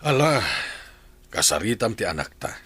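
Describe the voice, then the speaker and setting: A man's voice: lowish voice, very animated, moderate pace. A man acting an earnest village character in an Ilocano radio comedy drama. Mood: curious, hopeful.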